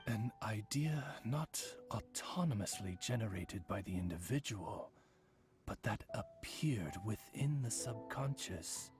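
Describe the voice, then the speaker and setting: A man's voice: lowish voice, animated, slow pace, over music. A man voicing a formal, erudite raven attendant who speaks in precise, wordy phrases in an anime-style game. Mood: measured, analytical.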